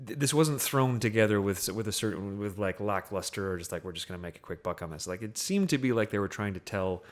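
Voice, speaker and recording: A man with a slightly low voice talks casually about movies as a podcast co-host. He goes along haltingly, often repeating a word while he looks for the next. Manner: halting, repeats words as he searches. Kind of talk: casual film discussion.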